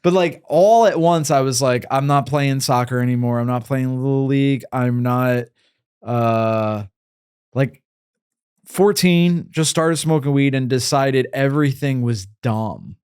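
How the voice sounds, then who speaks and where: A young man with a New York accent, relaxed and rambling as he hosts, often poking fun at himself. His voice sits at a middle pitch, recorded dry and close. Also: unhurried, clean tone, dry recording. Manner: laid-back, rambling, self-mocking storyteller. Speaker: podcast host. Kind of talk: casual podcast chat.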